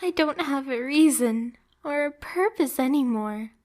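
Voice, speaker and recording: Woman acting a high-pitched clingy yandere catgirl. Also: lively intonation, a little slow, darkish tone. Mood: despairing, tearful. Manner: emotional, dramatic, at times dropping to a whisper. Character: yandere pet catgirl, lonely, clingy, jealous, unhinged lover. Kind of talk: anime ASMR roleplay acting.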